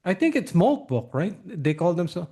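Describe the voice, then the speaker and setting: A man's voice: high voice, sing-song, normal speed, dark tone. A man hosting a conversational interview, probing and checking his understanding with repeated questions. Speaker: podcast host, interviewer.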